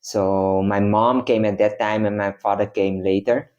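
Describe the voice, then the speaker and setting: A man's voice: fairly low pitch, fairly even intonation, measured pace, a little mellow, highs cut off. A young man from the Netherlands, a guest in casual chat, explaining at length with many hesitations.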